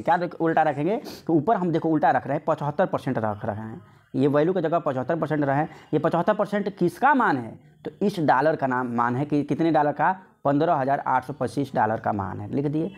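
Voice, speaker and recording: A man's voice: a little high, darkish tone. Man teaching maths, repeating himself for emphasis. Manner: repetitive, asks questions and answers them himself. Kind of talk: informal exam-prep lesson, explaining step by step.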